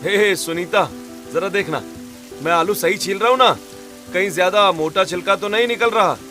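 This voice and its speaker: Man acting village farmer, slightly high, sharp tone, kindly, cheerful, cartoon. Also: normal speed, faint music behind. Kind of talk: cartoon story narration and voice acting.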